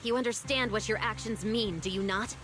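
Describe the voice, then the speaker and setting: A woman's voice: mid-range voice, fairly quick, background noise, lightly acted. An actress playing a stern, formal female authority figure giving clipped commands, in a game cutscene. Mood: commanding. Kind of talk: video game voice acting. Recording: game cutscene with music behind.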